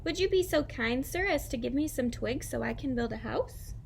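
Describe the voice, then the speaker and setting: A woman's voice: sits high, animated, moderate pace, creaky, slight background noise. A woman voicing a young little pig from a storybook, eager and defiant. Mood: polite, pleading. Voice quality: grunting.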